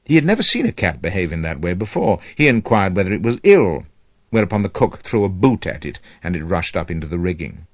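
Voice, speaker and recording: Lively, low-pitched male storyteller. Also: dry recording, studio quality. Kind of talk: scripted children's story narration.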